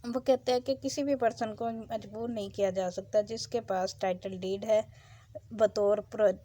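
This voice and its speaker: A woman's voice: medium pitch, mellow. A woman with a Pakistani accent giving an explanatory law lecture, as a teacher going through legal text.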